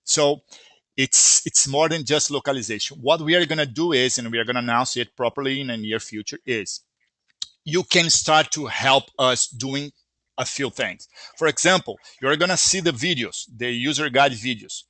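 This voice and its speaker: A man's voice: mid-range voice, moderate pace, bright, forceful tone, dry recording. A man with a Brazilian Portuguese accent, a native Portuguese speaker, earnestly answering user questions at length with frequent restarts. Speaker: software company founder answering user questions. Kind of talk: live Q&A explanation.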